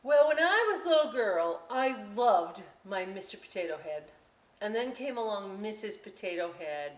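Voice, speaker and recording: A woman hosting a children's storytime and craft session, chatty and expressive, speaking directly to young listeners. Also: medium pitch, normal speed. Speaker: library storytime host. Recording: echoey room.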